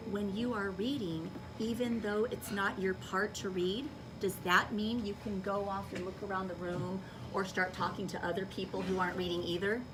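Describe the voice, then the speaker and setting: Woman, schoolteacher, instructive, projecting to children, questioning, distant mic, loud background noise. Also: mid-range voice, steady tone, moderate pace, hardly any pauses. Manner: instructive, checks understanding with questions. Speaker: elementary school teacher. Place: school classroom. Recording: distant mic, noisy classroom.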